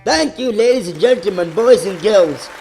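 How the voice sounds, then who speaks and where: A man's voice: high voice, animated, moderate pace, creaky, a little mellow. A man announcing a scripted comedy show sign-off as one of its cast. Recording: music and crowd behind the voice.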